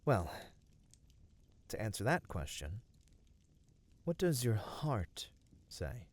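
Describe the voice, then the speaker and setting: Slow, soothing man acting a gentle fantasy companion. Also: lowish voice, big pitch swings, heavily acted. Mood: earnest, soothing. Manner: soothing, earnest, formal phrasing. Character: gentle, caring male companion of a fantasy hero. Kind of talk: scripted ASMR roleplay.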